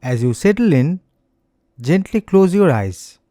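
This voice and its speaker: A man leading a slow guided meditation as an instructor, speaking in a gentle, soothing, scripted manner. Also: on the high side, very animated, no echo, clean studio sound.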